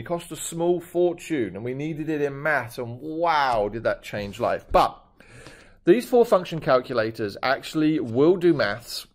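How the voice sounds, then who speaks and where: A man in his fifties with a British accent that is drifting toward Australian, teaching a maths lesson over a screen recording with jokey, chatty asides. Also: medium pitch. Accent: British, shifting toward Australian. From Britain.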